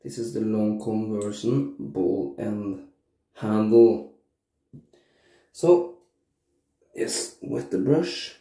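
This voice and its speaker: A man in his thirties with a cold and a stuffy nose, reviewing shaving soap casually in his bathroom while shaving on camera. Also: on the low side. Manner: slow, relaxed, frequent uh and um pauses. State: congested from a cold, sore throat. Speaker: shaving vlogger. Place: small bathroom indoors. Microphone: camera at arm's length. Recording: echoey bathroom.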